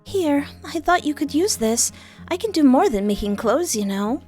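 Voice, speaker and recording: A woman's voice: high voice, sing-song, moderate pace, a little mellow, faint music behind, pitched above the performer's own voice. A woman voicing a cheerful, talkative young woman who makes clothes, bubbly and friendly.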